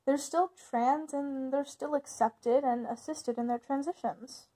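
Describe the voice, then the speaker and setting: A woman acts out a protective big sister from a witches' coven in an audio roleplay. Her voice sits high. She speaks informally and a little haltingly, always caring and reassuring toward her younger sibling. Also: fairly even intonation, mellow. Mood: earnest, worried. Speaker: voice actor in ASMR roleplay. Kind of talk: ASMR roleplay, conversational acting.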